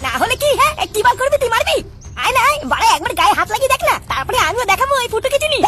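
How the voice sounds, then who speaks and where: A woman voicing a brash, excitable cartoon boy who talks in urgent, foul-mouthed slang. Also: very high-pitched, racing, loud music behind the voice. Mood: defiant, angry.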